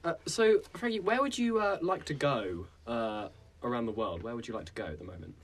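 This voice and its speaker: A man asking a guest questions, halting and trailing off as he goes. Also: medium pitch, expressive, distant mic. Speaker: interviewer.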